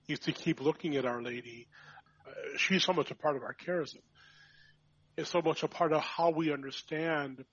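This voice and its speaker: Priest, man, husky and slightly high, reflective podcast talk, dry dull recording. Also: a bit bright, no echo. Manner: reflective, with frequent restarts and fillers. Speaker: priest and vocation director. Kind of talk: conversational podcast chat.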